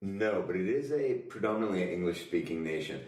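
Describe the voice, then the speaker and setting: A man's voice: low voice, animated, fairly quick, darkish tone. A man hosting a casual comedic podcast quiz, with a playful, wordy, teasing way of talking. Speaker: podcast host and quizmaster. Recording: roomy echo, distant microphone.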